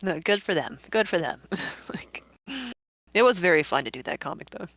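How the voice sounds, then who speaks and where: A woman's voice: fairly low pitch, expressive, normal speed, fairly bright tone. A woman giving casual live commentary as a comic's creator, musing and often giggly.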